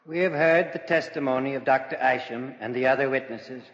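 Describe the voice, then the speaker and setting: An actor playing a stern, formal judge pronouncing a verdict in declamatory 1940s radio drama style. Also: male voice, medium pitch, brisk, heavy background noise, lightly acted. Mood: stern, official. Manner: declamatory, official, impersonal. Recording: echoey hall, dull vintage radio recording.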